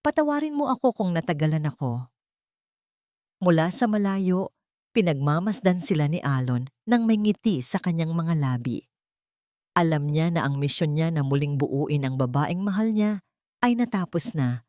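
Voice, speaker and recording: A woman narrates a scripted drama in a fairly low voice. Her phrasing is measured and deliberate, with pauses placed for effect. The sound is dry and close, with little room. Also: animated, mellow, no echo. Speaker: story narrator.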